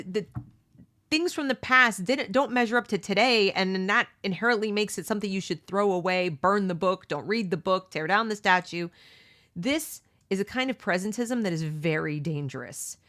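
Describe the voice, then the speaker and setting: Middle-aged woman talking thru a book, emphatic and opinionated, asks lots of rhetorical questions, average pitch. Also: normal speed, fairly bright tone. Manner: mocks others with high exaggerated voices. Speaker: book discussion host. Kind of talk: read-along book commentary.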